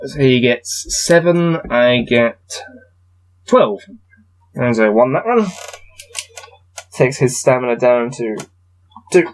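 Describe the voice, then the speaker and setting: A man's voice: mid-range voice, animated, slow pace, pauses often, rough recording. A man hosting a casual gamebook playthrough, reading passages aloud and adding wry, self-deprecating asides. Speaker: host playing through a gamebook.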